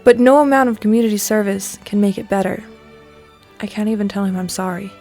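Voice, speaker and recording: A woman playing an earnest, remorseful high school girl with a halting, confessional manner in an audio drama. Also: steady tone, a little mellow, close to an everyday voice. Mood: guilty, earnest. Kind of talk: podcast audio drama acting. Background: music.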